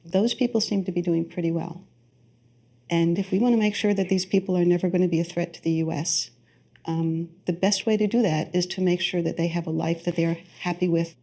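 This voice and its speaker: Female interview expert, relaxed mellow tone, crackly vocal fry, measured explanatory commentary. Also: fairly low pitch.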